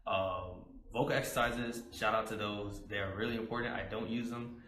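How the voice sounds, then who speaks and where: A young man in a casual interview, talking about his poetry in a relaxed, rambling way with many fillers, his voice slightly hoarse. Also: lowish voice, moderate pace, echoey room, rough recording. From United States. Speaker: spoken word poet guest.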